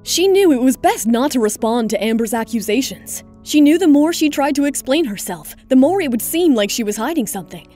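A woman's voice: high voice, expressive, brisk, few pauses, warm tone, faint music behind. A woman narrator reading a scripted romantic drama in audiobook style.

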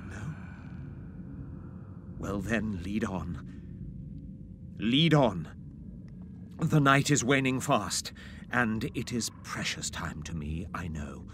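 A man's voice: lowish voice, lively intonation, slow, pauses often. An actor playing an anxious, repentant old miser in an audio drama, earnest and formal as he pleads. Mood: solemn, fearful. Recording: noisy ambience behind the voice.

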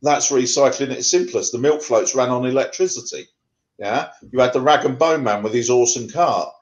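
A man's voice: mid-range voice, moderate pace, lo-fi sound. A middle-aged man from England, a poet reading his rhyming verse on a video call, then answering questions in a conversational way with fillers. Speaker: poet guest. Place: home room.

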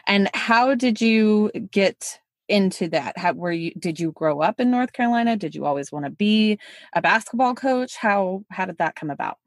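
A woman's voice: medium pitch, animated, no echo, studio quality. A woman hosting a podcast, friendly and encouraging, asking her guest questions in casual chat. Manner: friendly, encouraging, quick backchannels. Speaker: podcast host.

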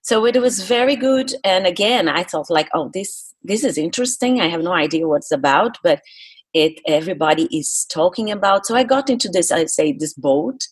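A middle-aged Brazilian woman speaks in a fairly low, husky voice with a Portuguese-speaker's accent. She talks as a podcast guest, recounting experiences conversationally and explaining her points with emphasis. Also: expressive, moderate pace. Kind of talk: conversational interview storytelling.

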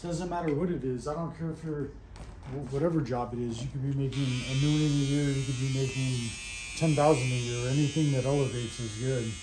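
A man's voice: medium pitch, fairly even intonation, brisk, echoey room, background noise. A man working as a barber, chatting casually in an encouraging, slangy way while cutting hair. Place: indoor barbershop.

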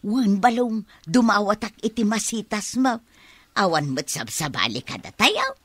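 Lively, bright-voiced coaxing village aunt. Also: female voice, medium pitch, moderate pace, husky, no room sound. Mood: coaxing, chatty. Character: chatty, wheedling older village aunt selling plants. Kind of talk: Ilocano comedy radio drama.

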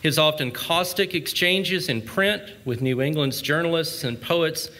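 A man delivering a formal prepared speech at an outdoor ceremony in a measured, erudite manner. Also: a little high, pushed and bright. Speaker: literary society speaker.

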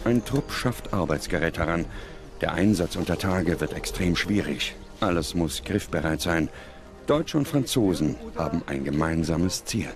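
A man reading scripted documentary narration in a measured, dramatic manner. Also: deep voice, expressive, music in the background.

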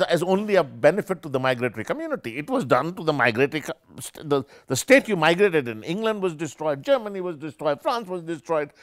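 Animated older man lecturing. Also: on the high side, no echo, studio quality. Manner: animated, gesturing, discursive with self-corrections. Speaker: keynote speaker, professor. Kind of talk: academic lecture. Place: small room with armchairs, indoors.

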